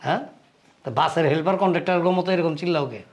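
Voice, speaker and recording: A man's voice: on the high side, fairly even intonation, lightly acted. A man with a colloquial Bangladeshi accent playing a domineering, hot-tempered husband and elder brother who scolds bluntly in a family drama. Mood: angry, reproachful. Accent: colloquial Bangladeshi Bengali. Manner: scolding, blunt, rapid-fire.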